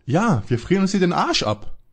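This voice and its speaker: Mann spielt heiseren, quengeligen Jungdetektiv. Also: male voice, high-pitched, very animated, fairly quick, breathy edge, exaggerated voice. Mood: relieved, grumbling. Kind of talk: parody detective audio drama.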